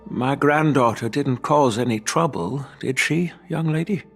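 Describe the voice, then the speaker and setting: An actor playing a wise, dignified old master swordsmith in a video game, talking in a measured, courteous, reflective way. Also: male voice, mid-range voice, creaky, breathy edge, faint music behind. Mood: courteous, apologetic. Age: older. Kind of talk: dramatic video game voice acting.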